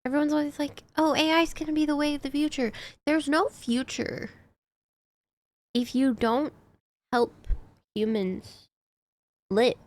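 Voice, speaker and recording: A woman's voice: high-pitched, fairly even intonation, measured pace, pauses often, darkish tone. A woman livestreamer chatting casually while she plays a game, with playful exclamations and asides. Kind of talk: casual gaming stream chat.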